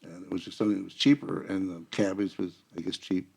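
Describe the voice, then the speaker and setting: Gravelly, lowish-voiced man explaining casually. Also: level tone, quick pace, darkish tone.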